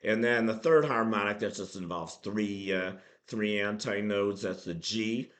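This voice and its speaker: A man with a hoarse voice from a cold, hosting a casual math lesson and explaining things with many ums, uhs and restarts. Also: lowish voice, unhurried. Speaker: math channel host.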